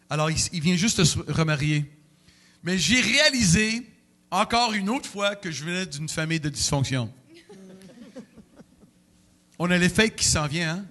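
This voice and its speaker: A middle-aged man, preaching a sermon in a church with emotional, animated delivery and sudden outbursts. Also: fairly high pitch. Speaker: pastor.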